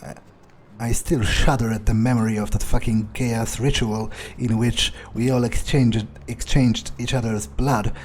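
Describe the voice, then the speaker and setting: A man roleplaying a cynical, foul-mouthed vampire in a video game, thinking aloud in a halting, brooding way. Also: mid-range voice, darkish tone, a little hiss or hum, natural voice. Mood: disdainful. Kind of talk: improvised in-character game roleplay.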